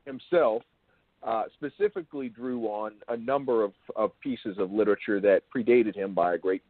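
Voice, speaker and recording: A man with a lowish voice hosts an interview over a thin, phone-like connection. He speaks in a halting, bookish way, building long and wordy questions. The sound is dry, with little room to it. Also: no echo. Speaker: interviewer and show host. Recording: thin phone-like call audio.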